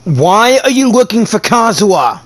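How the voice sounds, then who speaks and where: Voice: medium pitch, big pitch swings, moderate pace. A gameplay narrator reading on-screen dialogue and adding short comments. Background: faint music.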